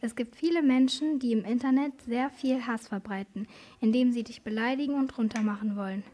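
A woman's voice: on the high side, fairly quick, warm tone. A woman presenting on a children's radio programme, reading a scripted explainer. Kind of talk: scripted explainer with posed questions.

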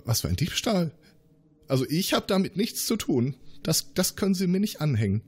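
A man's voice: fairly high pitch, big pitch swings, normal speed, husky. A man voicing a paranoid male scientist in a tabletop game, nervous and contradicting himself. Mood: evasive. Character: paranoid male scientist, conspiracy-minded, evasive. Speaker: player voicing a suspect.

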